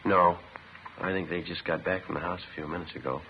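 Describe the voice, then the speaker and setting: An actor playing a calm, businesslike police detective in a 1950s radio drama, deadpan and matter-of-fact. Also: male voice, sits low, fairly quick, a little hiss or hum. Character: calm, businesslike male police detective, narrating his case. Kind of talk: 1950s radio drama acting, clipped procedural delivery. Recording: muffled 1950s radio recording with music.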